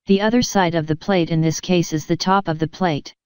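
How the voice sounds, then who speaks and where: Clear, low-pitched woman teaching steadily. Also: no room sound. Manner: measured, even explanatory delivery. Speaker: instructor. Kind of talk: scripted technical narration.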